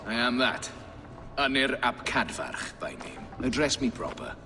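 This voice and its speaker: A man's voice: mid-range voice, very animated, a little slow, full character voice. A man voicing a haughty, sly and greedy royal advisor in a video game, pompous and insinuating. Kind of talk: video game drama acting. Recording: reverberant hall under loud music.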